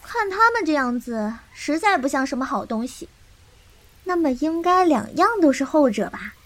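A woman's voice: high-pitched, big pitch swings, normal speed. A woman playing a fiery, naive, petulant young swordswoman in a martial-arts audio drama. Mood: curious, mocking. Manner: blunt, petulant, impulsive.

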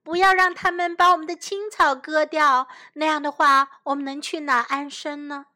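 A woman's voice: sits high, level tone, fairly quick, pushed and bright. A woman voicing frightened little wild animals in a children's story, pleading and exclaiming for help. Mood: panicked pleading.

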